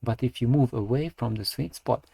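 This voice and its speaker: A man giving unscripted technical opinion and advice as a channel host, speaking haltingly and often repeating words as he goes. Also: mid-range voice, gravelly, mellow, husky, no echo. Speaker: audio review channel host.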